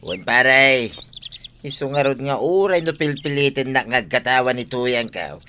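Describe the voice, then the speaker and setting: A man's voice: medium pitch, lively intonation, normal speed, sharp tone, clear, resonant tone. A man with a Filipino accent playing a folksy, exclaiming village farmer in a comedic audio drama. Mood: grateful, chatty. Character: village husband, farmer short of water, folksy. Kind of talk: comedic radio drama acting.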